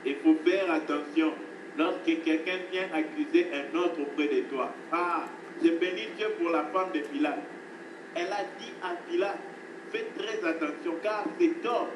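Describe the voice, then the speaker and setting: A man's voice: a little high, normal speed. Preaching man in an echoey hall. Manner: emphatic preaching, repeats phrases, addresses listeners as beloved. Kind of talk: sermon. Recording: echoey, distant, noisy hall recording.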